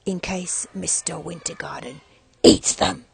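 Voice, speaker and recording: A woman voicing excitable children who tell spooky rumours dramatically in a children's storybook. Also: on the low side, a little slow, creaky, slightly rough, dry recording, pitched below the performer's own voice, exaggerated voice. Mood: warning. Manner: dramatic, ominous. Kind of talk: read-aloud children's storybook.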